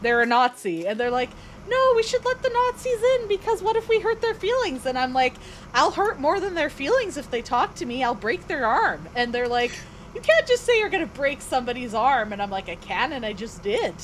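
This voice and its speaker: A woman's voice: high-pitched, very animated, a little fast, slight background noise, poor audio. A young woman, chatting bluntly and opinionatedly while playing a game. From Canada. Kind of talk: casual gaming chat.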